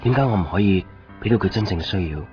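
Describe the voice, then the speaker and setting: A man's voice: low voice, expressive, quick pace, over music. An actor playing a lovelorn, brooding and easily exasperated young man in a Cantonese radio drama. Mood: anxious, heartbroken. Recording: dull 1990s radio recording.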